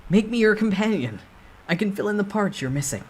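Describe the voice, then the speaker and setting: A man's voice: high voice, lively intonation, fairly quick, darkish tone, pitched above the performer's own voice. A man voicing a bold, calculating young man who speaks persuasively in a dramatic novel reading. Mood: insistent.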